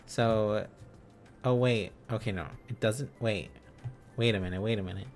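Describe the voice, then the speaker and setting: A man's voice: lowish voice, normal speed, faint music behind. A man hosting a casual livestream, thinking aloud as he studies, in a rambling, silly, exclaiming manner. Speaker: livestream host.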